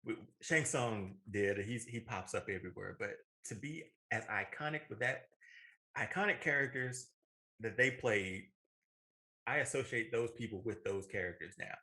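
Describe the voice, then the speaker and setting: Bright-voiced American man, enthusiastic and emphatic. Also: lowish voice, normal speed. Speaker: co-host of a movie and video game show. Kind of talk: casual opinionated movie discussion.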